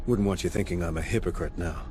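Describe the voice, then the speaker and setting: An actor playing a gruff, stubborn middle-aged marine sergeant and troubled father in video game dialogue, blunt and sardonic. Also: sits low, fast talker, a little mellow, soft background music, lightly acted.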